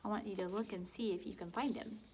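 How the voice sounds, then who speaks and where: A woman teacher reading a picture book aloud to young children, animated, with hushed, whispered asides to her listeners. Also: medium pitch, fast talker, slight background noise.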